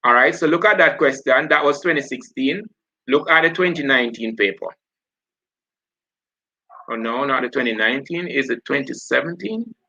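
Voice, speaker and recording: Bright-voiced Jamaican man teaching live. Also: mid-range voice, normal speed, highs cut off. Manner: talks while working through papers, self-correcting. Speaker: teacher hosting a live revision stream. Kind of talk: live online exam revision.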